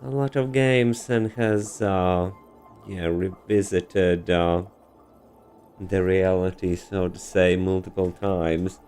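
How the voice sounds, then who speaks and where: A man giving casual, halting reactions while playing a video game, muttering short comments. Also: deep voice, steady tone, a little mellow, soft background music.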